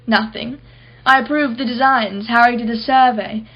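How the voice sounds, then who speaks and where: A woman's voice: on the high side, fairly even intonation, moderate pace, highs cut off, natural voice. An actress playing an imperious, wealthy businesswoman in a radio play, guarded and impatient, speaking in a clipped, formal way. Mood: guarded, recounting.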